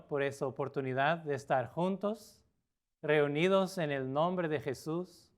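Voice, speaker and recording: Smooth-voiced Portuguese-accented pastor. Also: male voice, fairly high pitch. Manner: earnest, with pauses and filler sounds. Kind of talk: sermon.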